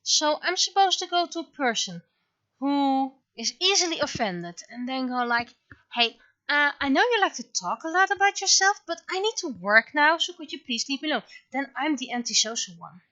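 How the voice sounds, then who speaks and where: A woman livestreamer chatting casually and venting in an animated, exasperated way, acting out imagined exchanges as she goes. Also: high-pitched, moderate pace, dry recording, muffled recording. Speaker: livestreamer, webcomic artist. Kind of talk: casual livestream chat, ranting.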